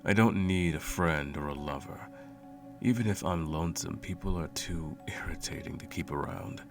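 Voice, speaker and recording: A man playing a cruel, smug, all-powerful sorcerer in an ASMR roleplay, with a slow, condescending, menacing drawl and a gravelly voice. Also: low-pitched. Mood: musing, cruel. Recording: music playing under the voice. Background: music.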